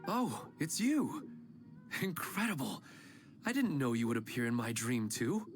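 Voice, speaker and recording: An actor playing a humble, sincere middle-aged father who talks in a gentle, plain-spoken way, over game music. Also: mid-range voice, very animated, measured pace. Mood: earnest. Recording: game audio with music behind.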